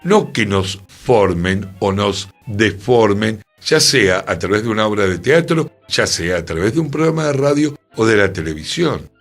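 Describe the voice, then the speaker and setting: Husky, animated Argentine man. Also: on the low side, moderate pace, few pauses, soft background music. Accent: Buenos Aires Argentine. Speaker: radio show host. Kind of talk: reflective discussion on a radio culture show.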